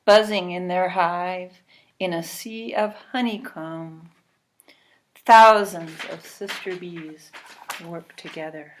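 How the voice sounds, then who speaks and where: A woman reading a children's storybook aloud, expressive and deliberate, pausing between phrases and adding short asides. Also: lowish voice. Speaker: storybook reader. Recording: clearly noisy recording with clicks.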